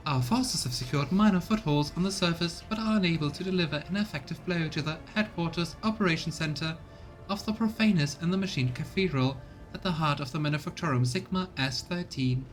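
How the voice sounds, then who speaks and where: A man's voice: brisk, faint music behind, natural voice. A man voicing a cold cyborg tech-priest in a video game, with formal, clinical, mechanical phrasing. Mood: clinical, stern. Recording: rumbly background noise.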